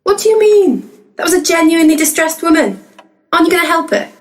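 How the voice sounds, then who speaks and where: A woman's voice: extremely high pitch, animated, quick pace. An actress playing a forthright, caring female assistant to paranormal investigators in a comedic audio drama, speaking expressively. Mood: indignant.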